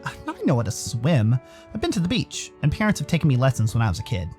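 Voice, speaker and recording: A man's voice: medium pitch, big pitch swings, fast, darkish tone, soft background music, pitched below the performer's own voice, close to an everyday voice. A man voicing an awkward, chatty young man in a visual novel, in a casual, hesitant way. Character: awkward, chatty, easily impressed young male house guest. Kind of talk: visual novel character dialogue.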